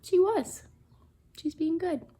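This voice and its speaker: A woman reading a picture book aloud to children, expressively, pausing to comment and ask questions as a teacher would. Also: very high voice, mellow. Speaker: children's storybook reader, teacher.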